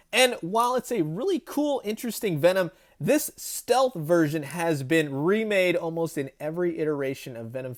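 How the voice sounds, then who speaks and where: Toy collector guy rambling about old figures, kinda high voice, wry and animated. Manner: chatty, animated, wry asides. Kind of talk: casual toy review.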